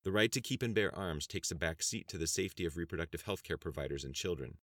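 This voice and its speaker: A man's voice: sits low, lively intonation, fast, a bit bright, no room sound, studio quality. A man voicing a prim, indignant woman official with an insistent, rehearsed manner in a narrated novel. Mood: controlled. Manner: rehearsed, insistent, sometimes squealing. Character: prim, indignant female gun-control official.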